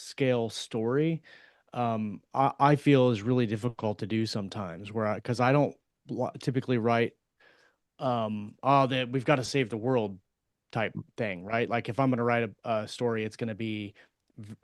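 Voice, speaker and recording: A man's voice: mid-range voice, no echo, clean studio sound. A man co-hosting a writers' podcast, chatting casually and tentatively as he thinks aloud about his craft. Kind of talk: casual discussion of story craft.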